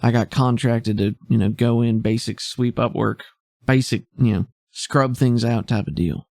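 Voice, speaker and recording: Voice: medium pitch, normal speed, gravelly, darkish tone, dry recording, clean studio sound, close to an everyday voice. A game master voicing a smug, evasive female thief with a slangy, cocky, grumbling way of talking in a tabletop game. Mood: defensive, grumbling. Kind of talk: improvised tabletop roleplay.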